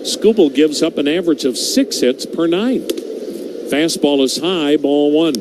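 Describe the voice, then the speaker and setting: Radio baseball announcer, male, slightly high voice, steady conversational delivery, noisy broadcast audio. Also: a little slow. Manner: steady, conversational play-by-play with stats and stories.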